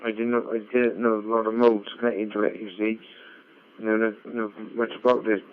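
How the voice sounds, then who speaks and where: A man, an amateur radio operator, chatting casually and haltingly over a radio link, often repeating his phrases. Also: level tone. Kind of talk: casual ham radio chat. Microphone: radio link played through a computer. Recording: dull low-fi digital radio link.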